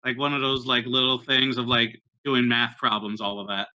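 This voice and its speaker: A man with a very bright, forward voice at a middle pitch. He improvises lines as a tabletop roleplay player, hesitant and halting as he goes. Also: little pitch movement, poor audio.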